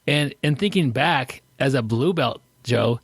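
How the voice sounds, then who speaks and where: A man's voice: mid-range voice, animated, a little slow, slightly rough, dry recording. A man co-hosting a podcast in casual, rambling chat, often restarting his sentences. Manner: rambling, self-interrupting. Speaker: podcast co-host.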